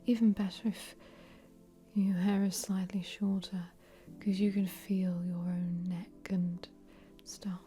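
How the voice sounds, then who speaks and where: A very dark, mellow whisper from a woman acting as an easygoing meditation guide. She gives gentle, chatty relaxation instructions at an unhurried pace, with a cheeky streak. Faint music sits behind her breathy ASMR delivery. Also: medium pitch, little pitch movement, lightly acted. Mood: soothing, gently cheeky. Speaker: ASMR host leading a relaxation session.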